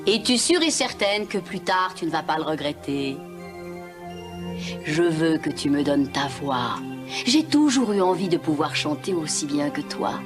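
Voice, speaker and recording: Scheming, theatrical sea witch, swooping pitch. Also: very animated, a little slow. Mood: sly, coaxing. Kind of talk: dubbed animated fairy-tale acting. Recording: music behind.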